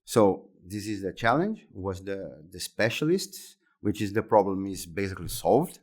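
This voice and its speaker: A man in his fifties with a Brazilian Portuguese accent, answering interview questions in English that is not his first language. His voice sits fairly low, and he talks haltingly, repeating words and restarting phrases as he goes. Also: sing-song, darkish tone. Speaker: interview guest, exhibition center executive.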